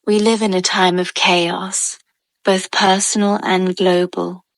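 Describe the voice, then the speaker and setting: A woman leading a guided meditation with soothing, calm narration in short, measured phrases and gentle pauses. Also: on the low side, dry recording.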